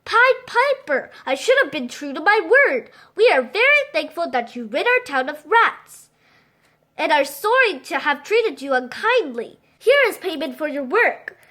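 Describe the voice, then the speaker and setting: A woman's voice: extremely high pitch, big pitch swings, measured pace, sharp tone, full character voice. A woman voicing a proud, formal male town mayor from a children's storybook. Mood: apologetic, pleading. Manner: scoffing when bargaining, formal when pleading. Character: scornful, stingy male town mayor, later apologetic.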